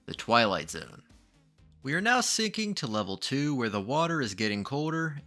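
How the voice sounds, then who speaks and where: A man's voice: medium pitch, bright, forceful tone. A man narrating a scripted video essay in a dramatic, ominous true-crime manner, posing rhetorical questions. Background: background music.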